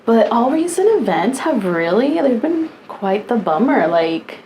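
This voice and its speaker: A woman's voice: a little high, big pitch swings, measured pace, darkish tone, slight background noise. A woman in her early thirties co-hosting a podcast in casual chat, dry and wry, often asking questions. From United States. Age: 30s. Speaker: podcast co-host.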